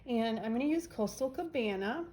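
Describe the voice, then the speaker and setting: A woman's voice: a little high, expressive, moderate pace, dark tone, slight background noise. A woman with a nasal voice, casually narrating each step of a crafting demonstration. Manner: chatty, narrates each step while working.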